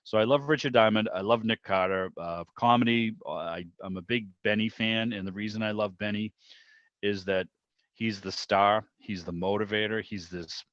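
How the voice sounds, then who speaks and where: A man's voice: lowish voice, normal speed, a bit bright. A man chatting casually and ramblingly as host of an online club meeting, thinking aloud as he goes. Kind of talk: casual enthusiastic chat about old radio. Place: indoors on a video call. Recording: muffled, roomy video-call audio.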